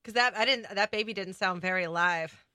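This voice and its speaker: A woman's voice: medium pitch, fairly quick, pushed and bright, dry recording, studio quality. A woman, chatting casually as a podcast co-host. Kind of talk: casual chat.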